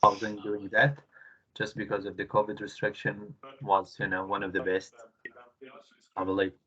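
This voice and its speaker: A man student talking about his hobbies, modest and quick to laugh. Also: lowish voice, monotone, sharp tone. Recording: dull lo-fi video call audio.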